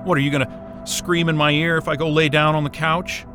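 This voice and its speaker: A man's voice: a little high, level tone, fairly quick, music in the background, lightly acted. A man playing a weary, foul-mouthed astrophysicist in an audio drama, with a sarcastic, grumbling manner. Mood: sarcastic, resentful. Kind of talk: naturalistic audio drama acting.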